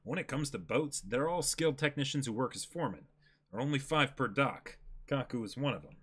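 A man's voice: medium pitch, expressive, a little fast, a bit bright, husky. A man in his twenties co-hosting a dramatic reading, giving scripted lines with casual asides. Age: 20s. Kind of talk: casual chat and character reading. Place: small indoor room, seated before a backdrop.

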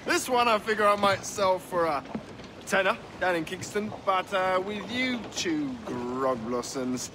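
A man's voice: high-pitched, lively intonation, unhurried. A man playing a smug, treacherous pirate mutineer in a video game, theatrical and mock-courteous with a drawl. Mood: smug, gloating. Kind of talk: dramatic video game acting. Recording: outdoor ship ambience noise.

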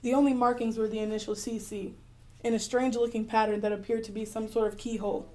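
Clever, adventurous girl heroine woman actor, higher-pitched voice, earnest first-person radio drama storytelling. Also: flat delivery, fast talker, a little mellow, lightly acted. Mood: excited, wondering.

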